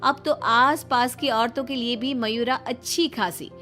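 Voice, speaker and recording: A woman's voice: fairly high pitch, unhurried, a bit bright. A woman reading scripted narration for a moral story, with music underneath.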